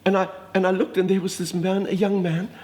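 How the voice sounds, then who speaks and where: A man's voice: high-pitched, flat delivery, brisk, darkish tone, close to an everyday voice. A man playing a chatty older woman with an Afrikaans South African accent, a minister's wife telling a dramatic, exclamatory story. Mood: gossipy. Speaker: comedic monologist. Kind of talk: comic storytelling monologue.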